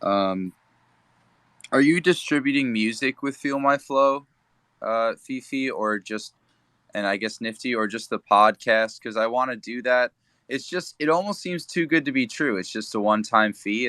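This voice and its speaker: A man's voice: medium pitch, normal speed, bright, forceful tone, dry recording. A man casually asking a follow-up question in a group chat.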